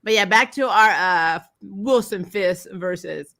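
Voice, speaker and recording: A woman's voice: mid-range voice, slow pace, vocal fry, fairly bright tone. A woman hosting a casual chat with viewers, speaking informally and with emphatic opinions. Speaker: host.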